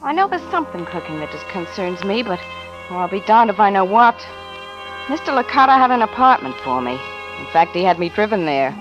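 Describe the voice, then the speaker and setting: A woman's voice: medium pitch, big pitch swings, fairly quick, lightly acted, low-quality recording. An actress playing a frightened but tough-talking young woman in a vintage radio mystery, slangy, sardonic and emotive. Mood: uneasy, wry. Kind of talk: 1940s radio acting, first-person narration and dialogue. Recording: vintage radio drama with music behind.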